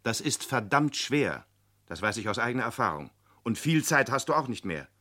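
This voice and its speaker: Bright-voiced, stern father. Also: mid-range voice, sing-song, normal speed. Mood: stern, earnest. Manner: emphatic, admonishing, plain-spoken. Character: stern but fair father lecturing his teenage son. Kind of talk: German radio play acting.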